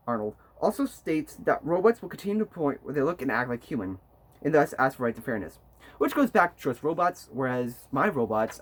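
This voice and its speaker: A man's voice: on the high side, breathy edge. A young man giving a persuasive student speech in a stumbling way, often restarting words mid-phrase. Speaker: student speaker. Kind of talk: rehearsed persuasive speech for a class.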